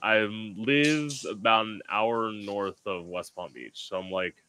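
Man, podcast host, chatty and rambling, low bright voice, video-call headset. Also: takes it slowly, smooth, low-quality recording. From South Florida. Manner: rambling, lots of fillers and laughs. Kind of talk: casual video-call chat. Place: home room with lamps.

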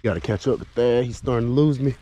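A man's voice: medium pitch, fast talker, dull audio, noisy background. A man vlogging his fishing trip on a wooded creek bank, stuffy from sinus trouble, casually explaining his lures. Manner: relaxed, explains lure action while casting. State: stuffy nose. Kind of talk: casual outdoor commentary. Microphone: body-mounted action camera. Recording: faint outdoor ambience.

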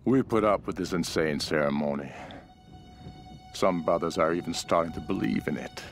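Deep-voiced, hardened cult henchman. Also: moderate pace, gravelly. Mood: grim, menacing. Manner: grim, hard-edged, world-weary. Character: hardened, cynical male cult henchman, ruthless survivor. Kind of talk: dramatic journal narration for a video game. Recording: music behind the voice.

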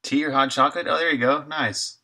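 A man's voice: fairly high pitch, fairly even intonation, a little fast, sharp tone. A man in his thirties chatting casually and thinking aloud while he codes on a live stream, sometimes muttering to himself. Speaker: game developer live streaming.

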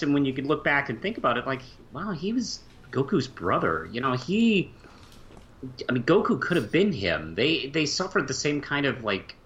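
A man co-hosting a podcast in a casual fan discussion, speaking haltingly and often repeating words as he goes. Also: fairly bright tone. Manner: halting, trailing off mid-phrase and repeating words. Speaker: podcast co-host. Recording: muffled low-fi mic with faint hiss.

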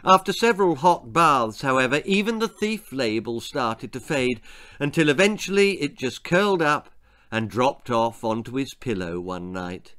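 An older man, an author reading a spooky, comic children's story aloud in a theatrical, deliberate way, drawing out key words. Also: medium pitch, expressive, fairly bright tone. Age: older, about 60s or 70s. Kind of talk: dramatic children's horror-comedy storytelling. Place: book-lined room indoors. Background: background music.

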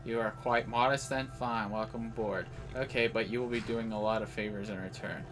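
A man's voice: on the low side, steady tone, a little fast, music in the background. A man giving casual live commentary as host of a gaming video.

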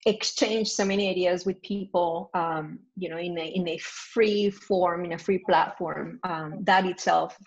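A woman's voice: fairly low pitch, fairly even intonation, unhurried, hardly any pauses, breathy edge. Woman moderator on a dull-sounding call. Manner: introducing speakers, appreciative. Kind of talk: moderating a panel on a video call. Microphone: video call.

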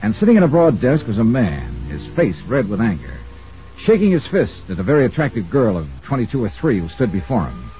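Muffled old radio recording, American male investigator, animated swings in pitch, wry crisp storytelling. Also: faint music behind. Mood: narrating. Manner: wry, brisk, crisply emphatic. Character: wry, self-assured male freelance insurance investigator. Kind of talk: 1950s radio drama dialogue and first-person narration.

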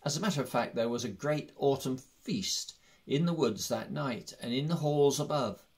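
A man's voice: medium pitch, normal speed. A man reading a fantasy story aloud as scripted narration. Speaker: audiobook reader.

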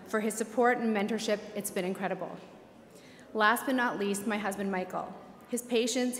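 A woman in her forties who owns a clinic, giving an earnest, grateful acceptance speech at a podium in a large banquet hall. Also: mid-range voice. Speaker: award winner, clinic owner. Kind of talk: acceptance speech and red-carpet interview. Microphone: podium microphones. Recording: echoey banquet hall with music.

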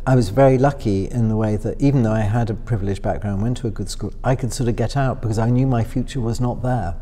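A middle-aged man from Northwest England with a softened upper-middle-class accent, musing through interview answers with many fillers and restarts. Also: mid-range voice, fast talker, a little hiss or hum. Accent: upper-middle-class Northwest English softened by London. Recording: voice with long hall reverb.

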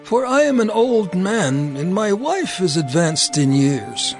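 A man's voice: high voice, very animated, soft background music, exaggerated voice. An actor playing a doubtful, bewildered elderly priest in a dramatized audio Bible, questioning as he speaks. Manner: slow, questioning delivery.

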